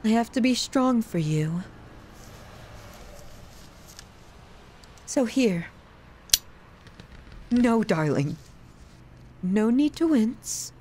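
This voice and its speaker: A woman performs as a possessive yandere girlfriend in an intimate audio drama. Her voice sits fairly high and moves very slowly, with halting pauses. She speaks straight to the listener, tender and desperate with menace underneath. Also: mellow, slight background noise. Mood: anxious, resolute, then gentle, coaxing. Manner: slow, halting, pleading, intimate delivery. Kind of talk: dramatic ASMR roleplay addressed to the listener.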